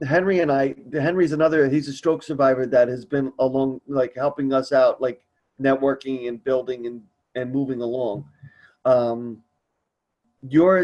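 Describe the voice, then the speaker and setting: A man hosts a support group call in a casual, conversational way. He sounds earnest and encouraging, with a middling pitch, and tends to ramble as he shares. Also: darkish tone.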